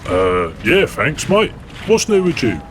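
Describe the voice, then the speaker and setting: A laddish demigod warrior, played by a man, trades crude, blunt banter. His voice leaps around as he mocks. Steady background noise runs under it. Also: medium pitch, a little slow. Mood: mocking.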